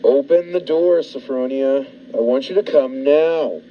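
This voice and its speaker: A man's voice: mid-range voice, measured pace, close to an everyday voice. A man playing a menacing, deranged murderous husband in a 1940s-style radio thriller, folksy, insistent and coolly sinister. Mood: insistent, coaxing. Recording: dull lo-fi radio-play audio, clear noise.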